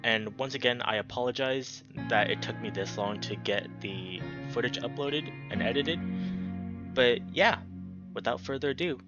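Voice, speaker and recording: A man's voice: medium pitch, normal speed, music in the background. A young man hosting a let's play and reading a visual novel aloud as dramatic narration. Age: young adult. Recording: dull lo-fi audio with background noise.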